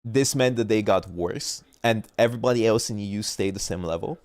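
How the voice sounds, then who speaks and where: A man's voice: fairly low pitch, a little fast, a little mellow, no room sound. Stuffy-nosed man debating esports teams. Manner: rambling, argumentative, trails off mid-point. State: stuffy nose. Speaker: streamer. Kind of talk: casual gaming chat.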